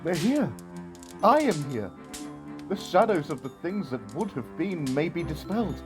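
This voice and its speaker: A man acts out an old miser from a Victorian tale in an audio drama. His voice sits a little high, and he speaks with intense, theatrical earnestness in formal, old-fashioned phrases. Music plays clearly behind him. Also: very animated, measured pace. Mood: giddy joy.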